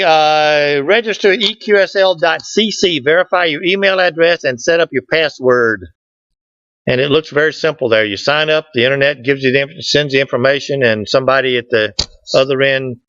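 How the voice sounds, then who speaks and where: A man's voice: on the high side, normal speed, a bit bright, clean tone, dry recording. A man giving an informal slide presentation to a group, folksy and joking in manner. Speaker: club presenter. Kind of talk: informal slide presentation with audience back-and-forth. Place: meeting room, standing at a lectern indoors. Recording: dull, lo-fi room recording.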